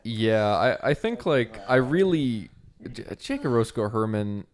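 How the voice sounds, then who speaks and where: A man's voice: mid-range voice, sing-song, a little slow. A young man, an actor, riffing loosely and joking during a film commentary, with frequent swearing. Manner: laid-back, joking, swears often. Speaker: co-host, actor.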